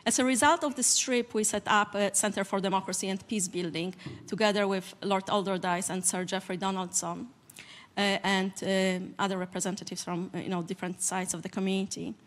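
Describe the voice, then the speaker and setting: Polish-accented woman, panel speaker, mid pitch, steady and plain. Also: brisk. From Poland. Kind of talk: conference panel talk.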